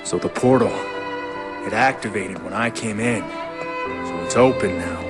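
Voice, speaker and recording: A man's voice: on the low side, normal speed, lightly acted. An actor playing a determined, impulsive young man in a video game, talking in a direct, informal way. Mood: urgent. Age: young adult. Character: determined, impulsive young man seeking his lost father. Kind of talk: cinematic video game acting. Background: faint music.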